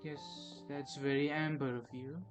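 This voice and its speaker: A gamer giving casual let's-play commentary in brief, low-key reactions. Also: steady tone, normal speed. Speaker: gaming YouTuber. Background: loud game music.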